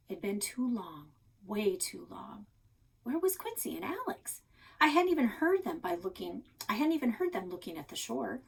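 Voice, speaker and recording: A woman narrating a children's novel aloud in an expressive storytelling style. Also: mid-range voice, vocal fry, slightly rough.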